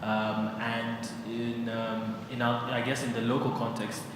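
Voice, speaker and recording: A fashion designer speaking on a conference panel, thoughtful, with many fillers and restarts. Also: male voice, mid-range voice, monotone, a little slow. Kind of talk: panel discussion. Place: large conference hall on stage. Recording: very echoey hall with background noise.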